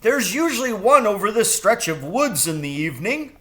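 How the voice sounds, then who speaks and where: A man voicing an eager, cheerful young male crow in a storybook, excitable and given to boasting and stammering. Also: sits high, normal speed, pitched above the performer's own voice. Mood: excited.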